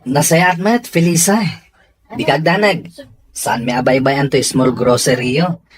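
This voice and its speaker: An actor with a Filipino accent playing a friendly, reassuring young store helper in a radio drama. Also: male voice, mid-range voice, unhurried, slightly rough.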